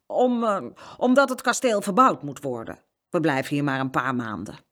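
A woman's voice: medium pitch, lively intonation, normal speed, pitched above the performer's own voice, close to an everyday voice. A woman voicing a boastful, condescending older boy who sneers stubbornly, in a children's storybook reading. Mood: bragging. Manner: bragging, condescending.

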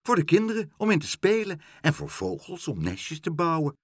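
A man voicing a mild, dreamy mayor in a children's storybook, musing and gently pleased. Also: fairly high pitch, very animated, moderate pace, dry recording, studio quality, pitched above the performer's own voice. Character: mild, dreamy, delighted mayor who loves art.

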